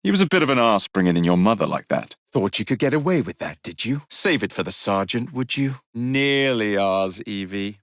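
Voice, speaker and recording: A young Victorian London gang rogue for a video game, with an English accent. His pitch jumps about in a lively way as he teases and gives brisk orders. The delivery is brash and playful, recorded completely dry. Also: dry recording. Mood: businesslike, wry. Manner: cheeky, teasing, theatrical.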